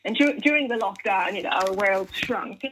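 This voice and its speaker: A woman's voice: medium pitch, moderate pace, a bit bright, a little hiss or hum, poor audio. A middle-aged woman from Guyana, a children's author working in tech, answering interview questions earnestly with frequent restarts.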